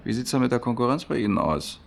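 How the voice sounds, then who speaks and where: A man's voice: mid-range voice, big pitch swings, fast, gravelly, slight background noise. A man acting a chatty, down-to-earth repairman in a German radio play, colloquial, jovial and blunt. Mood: jovial, probing. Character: chatty down-to-earth washing-machine repairman, eager billiards player. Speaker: radio drama actor.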